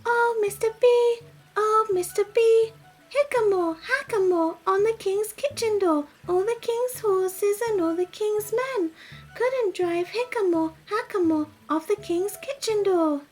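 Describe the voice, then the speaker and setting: A woman's voice: extremely high pitch, measured pace, soft background music, voice pushed up. A woman voicing an impertinent young male squirrel from a storybook, chanting riddles in a teasing sing-song. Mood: impertinent, taunting.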